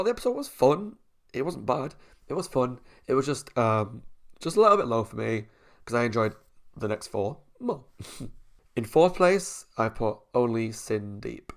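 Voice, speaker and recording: A man's voice: mid-range voice, vocal fry. A man, a YouTube reviewer talking through his opinions in a casual monologue, thinking aloud as he weighs them.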